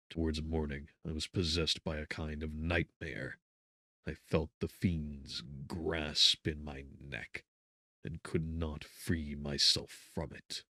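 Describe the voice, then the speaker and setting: A man narrating a gothic horror novel in a brooding, theatrical manner, swelling with emphasis and dropping to hushed tones. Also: extremely low pitch, no echo, studio quality. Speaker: audiobook narrator.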